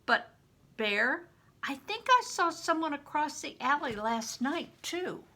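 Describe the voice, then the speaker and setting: A woman's voice: sits high, very animated, slow pace, pushed and bright, pitched above the performer's own voice, full character voice. A woman voicing an anxious, watchful young boy in a storybook, speaking earnestly and insistently. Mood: worried. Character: anxious, watchful young boy narrating his medieval adventure.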